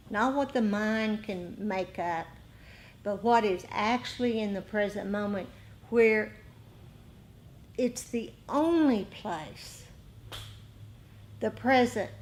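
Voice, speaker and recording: A woman teaches meditation in an informal dharma talk. Her pitch is average for a woman and her delivery is markedly slow. She is reflective and halting, often circling back over a phrase. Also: darkish tone. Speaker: meditation teacher leading a group.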